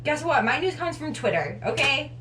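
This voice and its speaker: A woman's voice: sits high, very animated, moderate pace, fairly bright tone. A woman in her twenties chatting casually to camera as a vlog co-host. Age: 20s. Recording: roomy echo, distant mic, noisy.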